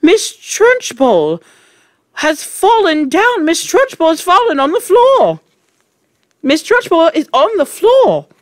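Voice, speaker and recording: A woman voicing a hysterical, excitable young schoolboy in a storybook, screaming in a shrill voice. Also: normal speed, few pauses, no echo. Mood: shrieking excitement.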